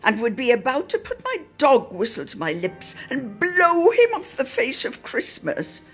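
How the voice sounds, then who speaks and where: A woman reading a literary Christmas reminiscence aloud in a theatrical, expressive style, lingering on words for emphasis. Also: high voice, normal speed, faint music behind. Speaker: reader in a radio players' production.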